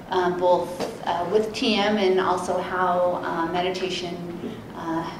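A woman moderates a panel, her speech slow, gentle and low, with little rise and fall. She pauses often and fills gaps with soft hesitations. The sound is distant and very echoey, with a steady hiss underneath. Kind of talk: guided meditation and introduction. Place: conference room with a panel table.

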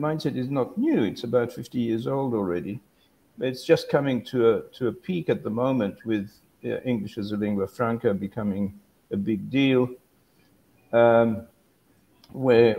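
A man's voice: medium pitch, vocal fry, mellow, rough recording. An older man musing in casual discussion on a video call, often restarting and correcting himself. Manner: rambling, self-correcting, musing. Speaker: guest academic.

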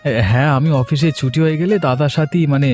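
A man's voice: fairly high pitch, fairly even intonation, fast talker, a little mellow, soft background music, natural voice. Man acting an eager, earnest young brother. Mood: happy, eager. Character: eager, respectful younger brother starting an office job. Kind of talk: cartoon moral-story dialogue.

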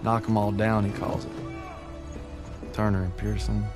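A male actor plays a young American infantryman in a war game cutscene. His voice is low with a gravelly edge, earnest and homesick as he narrates. A musical score sits behind him. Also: level tone, moderate pace, over music, natural voice. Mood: somber, weary. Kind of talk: somber scripted voice-over narration.

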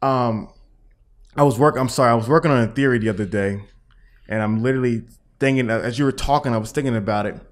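An American man in his thirties chatting casually as a podcast co-host, circling haltingly toward his point. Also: medium pitch. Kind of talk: casual chat. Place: small indoor room at a table. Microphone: podcast microphone on boom arm.

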